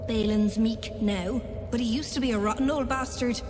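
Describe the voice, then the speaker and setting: A woman's voice: on the high side, steady tone, normal speed, close to an everyday voice. A woman voicing a bitter, sardonic old wife and trader in a fantasy game, blunt and scornful. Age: older. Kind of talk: video game voice acting. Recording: long reverb, music behind.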